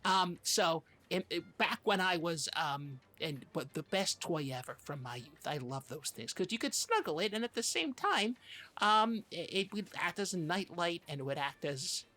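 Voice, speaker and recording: Bright-voiced, halting podcast co-host. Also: mid-range voice, very animated. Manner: halting, rambling storytelling with mock-serious insistence. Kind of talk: rambling comedic podcast chat.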